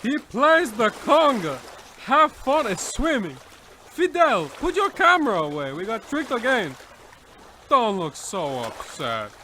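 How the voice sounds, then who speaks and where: A man's voice: very high-pitched, huge pitch swings, measured pace, sharp tone, a little hiss or hum, heavily acted. A man playing a gullible, starstruck rescuer in an old-time radio comedy, gushing and exaggerated. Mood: disappointed.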